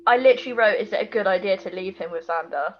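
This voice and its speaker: A woman's voice: mid-range voice, quick pace, muffled recording. A British woman co-hosting in casual banter, with a playful, teasing manner. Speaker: podcast co-host. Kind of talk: casual banter, reacting to an episode recap.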